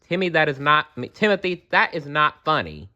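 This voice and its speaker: A man's voice: fairly high pitch, sing-song, normal speed, pushed and bright, muffled recording, pitched above the performer's own voice, heavily acted. A man voicing a stern, exasperated female schoolteacher who scolds earnestly in a children's storybook.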